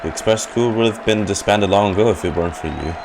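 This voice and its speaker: A woman's voice: extremely low pitch, fast, over a noisy crowd, very noisy. A woman streamer giving casual reaction commentary on a game and reading its text aloud.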